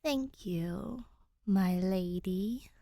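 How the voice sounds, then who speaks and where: A woman plays a young royal ghost girl in a storybook reading. She is courteous and formal, hushed and careful, with a dutiful but wary temper. The recording is close and dry. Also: mid-range voice, drawn-out words, gravelly, dry recording. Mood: grateful. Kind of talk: fan fiction audiobook character voice.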